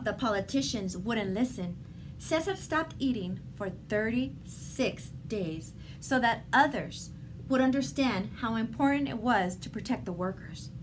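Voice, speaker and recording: A woman reading a children's picture book aloud in a deliberate, expressive storytelling manner. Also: on the high side. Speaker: storybook reader. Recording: clearly noisy background ambience.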